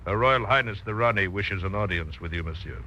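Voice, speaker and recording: An actor in 1940s radio style playing a courteous, formal palace messenger, on a dull and noisy old recording. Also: male voice, lowish voice, a little fast, pushed and bright. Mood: solemn, courteous.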